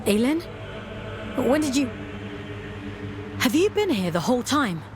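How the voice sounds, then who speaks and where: A woman playing a vulnerable young prisoner in a video game, speaking in a halting, emotional way. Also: high-pitched, sing-song. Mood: bewildered. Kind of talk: cinematic video game acting. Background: music.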